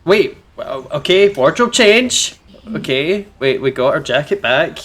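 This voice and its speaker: A man's voice: a little high, lively intonation, unhurried, a bit bright. Chatty man doing game playthrough commentary. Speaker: gaming video host. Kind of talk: playthrough commentary and reading visual novel text aloud.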